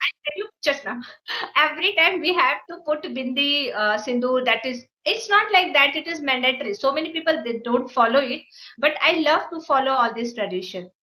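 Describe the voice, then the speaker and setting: High, bright-voiced young Indian woman. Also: expressive, brisk, low-quality recording. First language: Maithili. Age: 20s. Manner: chatty, often says "ma'am", laughs while talking. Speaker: schoolteacher. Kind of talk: casual conversation practice.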